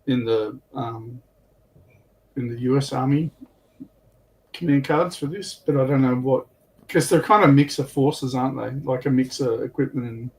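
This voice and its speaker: A man's voice: mid-range voice, moderate pace, long pauses, darkish tone, poor audio. A man speaking casually as a panelist on a hobby livestream.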